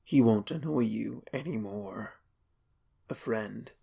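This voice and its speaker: Man acting a husky, ominous mystery letter-writer. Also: lowish voice, dark tone, exaggerated voice. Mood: ominous warning. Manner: measured, ominous, cautioning. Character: mysterious male friend reading out ominous warning letters. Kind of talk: slow, dramatic horror story acting.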